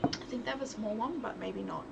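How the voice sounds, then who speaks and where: A woman's voice: mid-range voice, fairly quick. A woman chatting casually on a livestream, thinking aloud as she works and often trailing off mid-thought. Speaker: streamer, visual novel developer. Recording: clearly noisy livestream audio.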